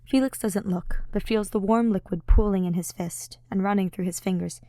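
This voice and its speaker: A woman narrates a piece of fiction in an audiobook style. Her voice sits at a middle pitch, and the recording is close and dry, with very little room sound. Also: fairly even intonation, normal speed, dark tone, no echo.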